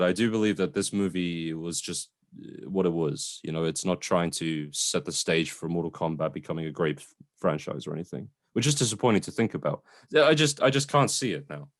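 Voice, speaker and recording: A young man with a low voice and a widely swinging, animated intonation talks films casually. He co-hosts, offering measured opinions and putting questions to his partner. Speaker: film review podcast co-host. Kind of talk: casual film discussion.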